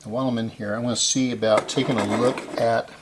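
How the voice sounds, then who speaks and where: A man's voice: mid-range voice, fairly even intonation, fast, noisy background. A man giving a casual hands-on repair narration, explaining and thinking aloud as he works. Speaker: repair video host.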